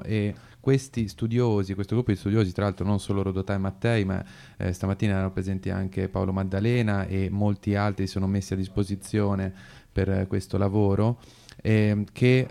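A man with a dark, relaxed voice and plenty of fry presents a radio talk. His delivery is fairly even in tone. He explains in long sentences broken by hesitations. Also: lowish voice. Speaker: radio host. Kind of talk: unscripted radio talk on activism.

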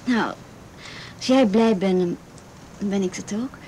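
A woman's voice: mid-range voice, slow, mellow, close to an everyday voice. A woman playing a frightened, wary teenage girl in a radio drama, speaking in a hesitant, faltering way. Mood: cautious, tentative. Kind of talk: naturalistic radio drama acting. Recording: noisy old radio drama recording.

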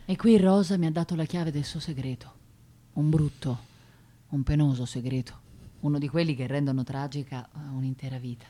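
An actress playing a sober, compassionate woman narrator, with measured dramatic phrasing in an Italian radio play. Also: sits low, fairly even intonation, warm tone. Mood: grave.

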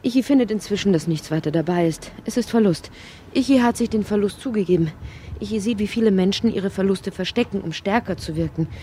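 An actress narrating a strange, inward literary radio drama in deliberate, short emphatic phrases. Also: female voice, mid-range voice, warm tone, slight background noise, close to an everyday voice. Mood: reflective. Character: woman narrator of a strange inward dreamlike tale.

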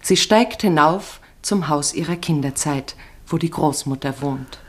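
A woman with a slightly low voice narrates a literary radio play. Her delivery is measured and descriptive, carefully scripted throughout.

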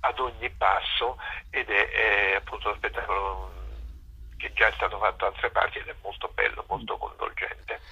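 A man on the phone with a lowish, husky voice and a very bright, sharp tone. He keeps his remarks short and friendly. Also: steady tone, normal speed, lo-fi sound. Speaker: theater guest. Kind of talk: phone interview.

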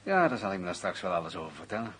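An actor playing a guarded, bureaucratic intelligence official in a radio drama, speaking formally with a husky voice. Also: male voice, sits low, sing-song, very fast, fairly bright tone, a little hiss or hum. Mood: hushed reassurance. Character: guarded, bureaucratic male senior intelligence official, covering himself. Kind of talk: Dutch radio drama acting.

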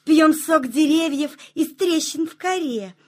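An actress playing a shy, gentle, slightly vain butterfly in a children's audio play, with drawn-out, cooing delivery. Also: female voice, sits high. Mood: gentle, explaining. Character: shy, sensitive, slightly vain female mourning-cloak butterfly.

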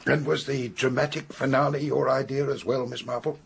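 An actor playing a wealthy older English gentleman in a radio drama, dry and sardonic, with clipped, wry delivery. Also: mid-range voice, fairly even intonation, moderate pace, vocal fry, a little hiss or hum, natural voice. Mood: wry, questioning. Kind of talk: British radio drama acting.

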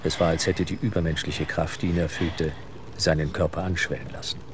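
A man narrating an audio drama in a measured, suspenseful, literary style. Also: deep voice, little pitch movement, noisy background.

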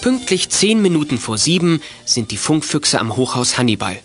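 A man's voice: on the high side, big pitch swings, moderate pace, fairly bright tone, faint music behind, exaggerated voice. A man reads scripted, matter-of-fact narration for a children's detective audio drama. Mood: narrating. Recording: clearly noisy old audio play recording.

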